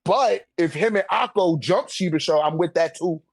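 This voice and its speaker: A man's voice: high-pitched, normal speed, creaky, no echo, clean studio sound. An American man chatting casually in a fan debate, swearing freely and leaning on slang and filler phrases. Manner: slangy, profane, repeats "like" and "you know what I'm saying".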